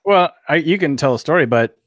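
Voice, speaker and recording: A man's voice: a little high, animated, brisk, dry recording, clean studio sound. A man co-hosting a podcast in casual chat, enthusiastic and teasing. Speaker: podcast co-host.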